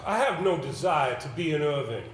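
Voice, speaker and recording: Nervous, courteous gentleman male stage actor, hesitant and unwilling, animated pitch; roomy live sound with faint noise. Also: fairly quick, lightly acted. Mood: uneasy, reluctant. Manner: hesitant, polite, formal. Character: anxious, reluctant, formal gentleman, no natural performer. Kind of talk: theatrical stage acting. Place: indoor theater stage.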